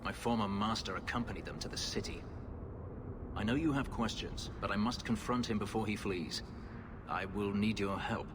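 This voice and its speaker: An actor playing a brooding, bitter fugitive slave warrior in a video game, with grave, formal, measured speech. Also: male voice, lowish voice, noisy background, lightly acted. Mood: guarded, earnest.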